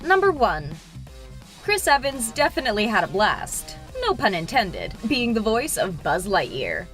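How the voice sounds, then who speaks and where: A woman's voice: medium pitch, wildly swooping pitch, a little slow, a bit bright, faint music behind. A woman reading scripted entertainment narration as a video narrator.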